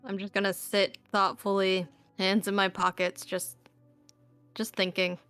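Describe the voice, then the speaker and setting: A woman playing a tabletop role-playing game, talking in a casual, low-key way. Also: level tone, unhurried, fairly bright tone, smooth, soft background music.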